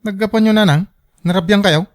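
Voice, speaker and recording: A young grandson acted by a man in a family radio drama. His voice is high for a male, with an Ilocano accent. He sounds concerned and questions his elders. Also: a little fast, close to an everyday voice. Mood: concerned, questioning.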